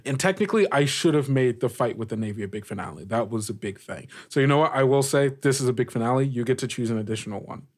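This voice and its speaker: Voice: mid-range voice, lively intonation, fast talker, few pauses. A game master hosting a tabletop session in an informal, slangy, encouraging way, chatting casually with the players.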